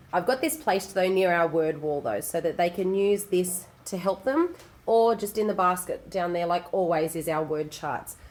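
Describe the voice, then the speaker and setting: Croaky Australian woman teacher. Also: lowish voice. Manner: chatty, practical, with frequent fillers. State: croaky, tired voice. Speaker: assistant principal and teacher. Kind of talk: teaching tips video, casual explanation. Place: classroom.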